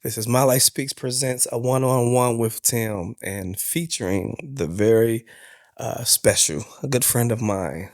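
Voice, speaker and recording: Male podcast host, gravelly edge, casual and slangy, dry close sound. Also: medium pitch, unhurried, no echo. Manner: laid-back, slangy, talks to listeners as y'all. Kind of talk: casual interview chat.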